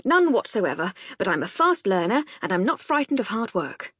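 A woman's voice: mid-range voice, very animated, moderate pace, a bit bright, slightly rough, no echo, clean studio sound. A woman narrator voicing a cheerful, dishevelled young man who is eager, breezy and out of breath, in an audiobook.

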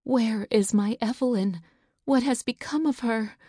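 A woman's voice: fairly high pitch, unhurried, mellow, slightly rough, no room sound, clean studio sound, pitched above the performer's own voice. A woman voicing a panicked mother who pleads as she searches for her missing daughter. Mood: desperate.

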